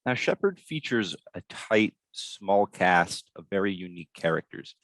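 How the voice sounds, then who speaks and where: A man with a low voice hosts an interview. He puts polite, prepared questions to his guest, and the recording is dry with hardly any room sound. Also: sing-song, a little slow, a bit bright, no room sound. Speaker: interviewer, host.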